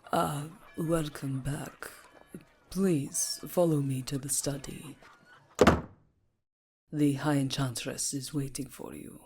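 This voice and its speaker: Woman as a slow, gravelly, formal servant. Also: very deep voice, steady tone, noisy background, full character voice. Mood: polite, subdued. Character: formal servant ushering a castle guest. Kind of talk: fantasy ASMR roleplay acting.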